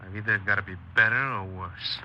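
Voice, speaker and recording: A man's voice: lowish voice, steady tone, fairly quick, close to an everyday voice. An actor playing a cold, embittered young man confessing his crimes in a 1950s radio crime drama, speaking tersely and guardedly. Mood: cold, curt. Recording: noisy, muffled 1950s radio broadcast.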